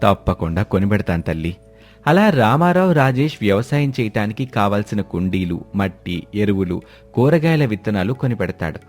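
Male narrator for an animated moral tale, steady and low-pitched. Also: normal speed, soft background music. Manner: steady, storytelling. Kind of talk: scripted story narration.

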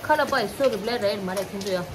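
A woman's voice: medium pitch, very fast, background noise. A young woman in her twenties chatting casually to her phone camera in a small home kitchen, switching between languages and swearing now and then. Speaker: vlogger. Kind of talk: casual vlog chat. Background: background music.

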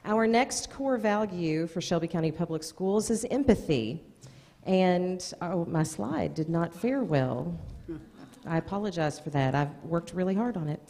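A woman's voice: on the low side, lively intonation, a little slow, mellow, a little hiss or hum. A middle-aged American woman announcing award nominees at a public meeting from a podium, reading out names with informal asides. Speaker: school district presenter. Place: indoor meeting room at a podium. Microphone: gooseneck podium microphone. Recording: echoey meeting hall, long reverb.

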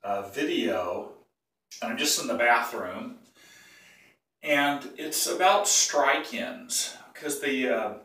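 An older man with a cold teaches bagpipe technique in a casual, rambling way. He keeps clearing his throat and stops to correct himself. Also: mid-range voice, lively intonation, slow, pushed and bright. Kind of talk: casual tutorial. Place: small tiled bathroom. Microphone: phone or camera some feet away. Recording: echoey bathroom, voice from a distance.

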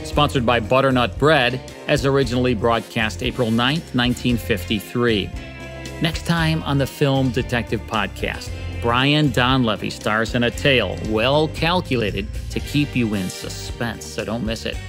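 A man's voice: medium pitch, a little slow. A man hosting a podcast and reading a scripted outro. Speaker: podcast host. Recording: over guitar music.

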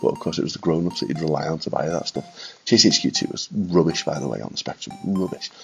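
A man in his thirties with a northwest English accent and a cold, reminiscing quietly and casually to camera in a room at home. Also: extremely low pitch, fast talker, gravelly, soft background music. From Liverpool and Widnes, England. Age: 30s. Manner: quiet, subdued late-night talking. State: congested with a cold. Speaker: retro gaming video maker. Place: small furnished room at home, indoors.

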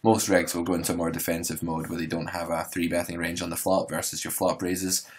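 A man, hosting a poker podcast and explaining strategy in a relaxed, rambling way with many fillers. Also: deep voice, fairly quick, a little hiss or hum. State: hoarse. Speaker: poker coach and podcast host. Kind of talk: solo instructional podcast.

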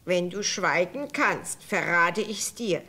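An actress playing a sinister, witchlike old cook in a fairy-tale radio play, speaking deliberately and conspiratorially. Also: on the low side, expressive, pushed and bright, full character voice. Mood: secretive, menacing.

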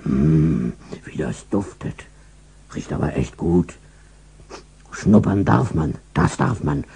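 A low, quiet dog mutters to himself over something tempting, as a male storyteller puts him on. His voice is dark and husky and stays close and soft. He is a good-natured storybook hound. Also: expressive, takes it slowly, exaggerated voice. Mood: hushed. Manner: hushed, murmuring to himself. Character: gentle, greedy male family dog.

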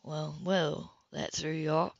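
A woman voicing a flirtatious, smooth-talking man in his early thirties, teasing and persistent, in an audiobook romance. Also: deep voice, steady tone, slow pace, no echo, lo-fi sound, pitched below the performer's own voice, full character voice. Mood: curious, teasing.

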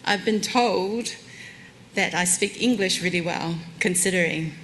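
A woman's voice: lowish voice, a little slow, slight background noise. A woman, giving a candid, self-deprecating keynote talk from a lectern on a conference stage. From Samoan heritage, New Zealand. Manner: warm, candid, self-deprecating with light laughs. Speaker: conference speaker. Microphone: lectern microphone.

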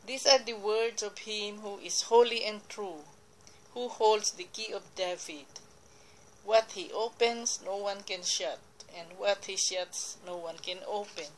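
A woman reading Bible verses aloud and explaining them in a slow, deliberate way, now and then correcting herself, recorded on a phone pointed at a computer screen. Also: mid-range voice, steady tone, a little hiss or hum, rough recording. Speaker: lay preacher. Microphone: phone camera filming a computer screen.

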